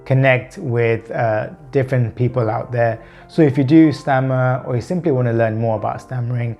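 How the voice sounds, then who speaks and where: A man's voice: medium pitch, moderate pace, creaky, darkish tone, soft background music. Earnest young man who stammers. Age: 30s. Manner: stammers with repeated words and blocks, unedited; earnest, open, conversational. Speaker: vlogger. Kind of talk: personal vlog talking to camera.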